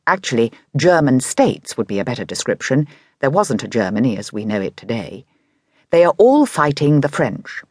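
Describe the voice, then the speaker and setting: A woman's voice: a bass voice, big pitch swings, moderate pace, darkish tone, no room sound, studio quality. A woman with an English accent narrating a scripted history documentary in an explanatory way with lightly conversational asides.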